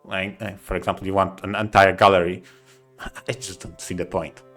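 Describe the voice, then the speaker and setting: A man co-hosting a podcast, giving opinions casually in a halting way, repeating short phrases for emphasis. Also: low-pitched, little pitch movement, a bit bright, soft background music. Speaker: podcast co-host.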